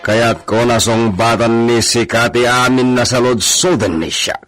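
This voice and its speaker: A man's voice: mid-range voice, measured pace, a bit bright, faint music behind, lightly acted. A man acting a cruel, domineering rich landlord in a radio drama, with a commanding, menacing delivery.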